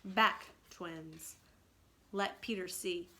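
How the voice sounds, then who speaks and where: A woman's voice: fairly low pitch, very slow, pushed and bright, exaggerated voice. A woman voicing a meek but dignified young boy from a storybook, earnest and remorseful. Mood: solemn.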